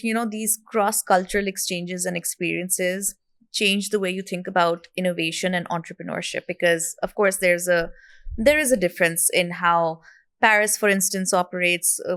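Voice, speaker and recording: A woman's voice: fairly low pitch, fairly bright tone, no echo, studio quality. A woman hosting an interview podcast, asking questions and giving friendly, affirming replies. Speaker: podcast host.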